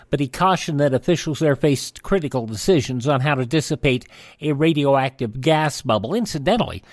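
A man's voice: mid-range voice, dry recording, studio quality. A man hosting a radio show, reading a scripted rundown of historical events.

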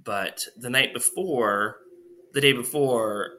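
A man's voice: mid-range voice, takes it slowly, bright, forceful tone. A young man co-hosting a casual podcast, congested from a recent illness, telling stories in a rambling way full of fillers. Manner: rambling, filler-heavy storytelling. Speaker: podcast co-host. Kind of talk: casual chat.

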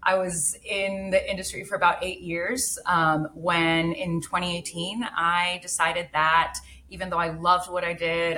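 A woman's voice: lowish voice, bright, forceful tone, rough recording. A woman from Mexico, a brand founder, speaking as a guest in an interview, earnest and reflective with frequent restarts. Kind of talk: casual interview chat.

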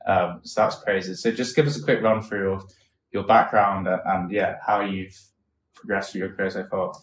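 Lower-voiced man hosting, echoey room. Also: moderate pace. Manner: relaxed, rambling questions with restarts. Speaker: podcast host. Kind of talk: casual podcast interview. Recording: roomy echo, faint room noise.

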